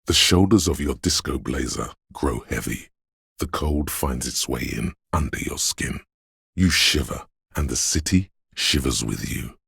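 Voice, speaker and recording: An actor voicing a brooding, poetic inner voice in a video game, speaking in a solemn, measured, gravelly and breathy way with hushed phrase endings. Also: male voice, rumbling low, no echo, clean studio sound, full character voice. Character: brooding, poetic inner voice sensing a rain-swept city. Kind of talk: scripted literary game narration.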